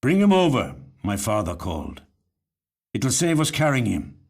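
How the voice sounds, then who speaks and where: A man's voice: mid-range voice, huge pitch swings, moderate pace, darkish tone. A man playing a hard, unsentimental country father in a short story, curt and commanding. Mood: impatient, commanding.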